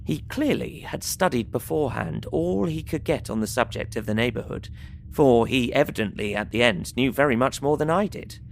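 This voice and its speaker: An actor playing a polite, earnest young man, narrating his diary in measured, formal phrasing for an audio drama. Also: medium pitch, animated, gravelly, slight background noise. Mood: measured, recounting. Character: Jonathan Harker, polite young Englishman, earnest diarist. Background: music.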